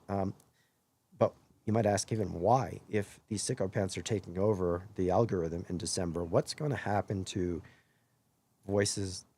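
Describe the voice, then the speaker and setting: Hoarse man on a home live stream. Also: fairly low pitch, warm tone. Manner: earnest, rambling, often self-correcting. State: hoarse after illness. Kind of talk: casual live-stream monologue.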